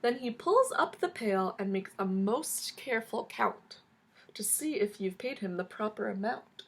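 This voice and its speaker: A woman reading a children's book aloud in an expressive storytelling style, drawing out key words for emphasis. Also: mid-range voice, a little slow, gravelly, husky.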